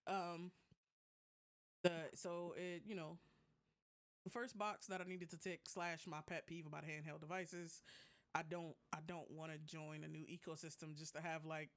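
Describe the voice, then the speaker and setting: A podcast co-host with a mid-pitched voice. The talk is casual and animated, full of informal slang, like friends chatting about gadgets and games. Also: moderate pace. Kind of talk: casual tech and gaming chat.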